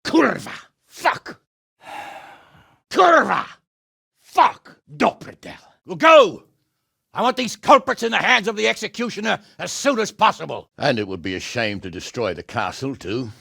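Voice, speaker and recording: A gruff middle-aged lord from a medieval video game. His voice is raspy and forceful, swinging widely in pitch. He gives orders bluntly, with a short temper and a sardonic, sweary edge. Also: very animated, unhurried, no room sound, studio quality, full character voice. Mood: furious, barking. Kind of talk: video game character acting.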